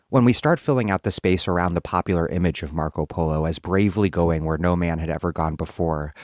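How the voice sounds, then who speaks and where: A male podcast host with a very deep voice asks long, careful questions. The sound is dry and close, with no room echo. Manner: measured, reads quotes. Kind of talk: interview questions, partly read aloud.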